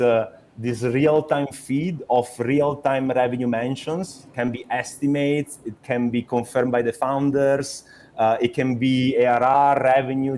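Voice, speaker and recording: A man's voice: mid-range voice, darkish tone. A young adult man from Italy with an Italian accent, a startup co-founder talking in an interview, hesitant with many restarts and fillers. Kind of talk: conversational interview.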